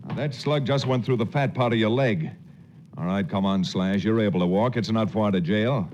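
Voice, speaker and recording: An actor playing a confident, wry frontier hero in a 1940s radio western, with a cool, teasing manner. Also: male voice, on the low side, normal speed, a little mellow, close to an everyday voice. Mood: commanding. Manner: cool, teasing, self-assured. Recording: muffled, noisy old radio recording.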